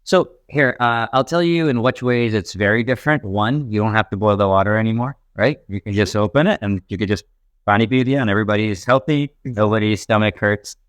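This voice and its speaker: A man's voice: lowish voice, a little fast, clean tone, dry recording. A young man from Pakistan, a stand-up comic guest chatting casually and rambling with lots of fillers. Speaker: podcast guest, stand-up comedian. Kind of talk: casual chat.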